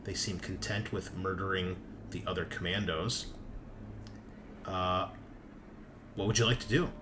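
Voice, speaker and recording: A man's voice: deep voice, steady tone, a little slow, background noise. A man narrating a tabletop roleplaying game as game master, with casual, off-the-cuff storytelling.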